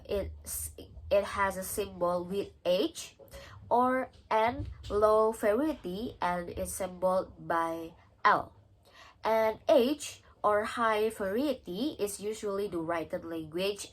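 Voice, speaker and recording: A young woman with an Indonesian accent, answering exam questions and pausing often with filler sounds. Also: medium pitch, poor audio. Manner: hesitant, many pauses and filler sounds. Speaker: student.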